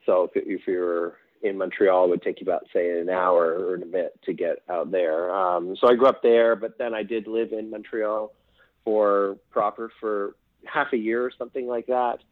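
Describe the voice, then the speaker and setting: Low-voiced man on a tinny line. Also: fairly even intonation, moderate pace, dry recording. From Quebec, Canada. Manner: rambling and hesitant, many fillers and restarts. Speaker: songwriter guest. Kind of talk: casual interview chat. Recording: tinny phone-like line, dry.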